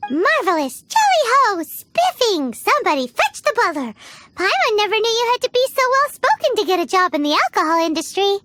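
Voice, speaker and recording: Super high squeaky little fairy sidekick, cheeky n excitable, game voice, swings all over. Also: female voice, a little fast, heavily acted. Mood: teasing. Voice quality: squeaky, childlike. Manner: speaks of herself in the third person, exclamatory. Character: tiny excitable fairy-like girl companion, cheeky and curious. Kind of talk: video game character acting. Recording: loud game music behind the voice.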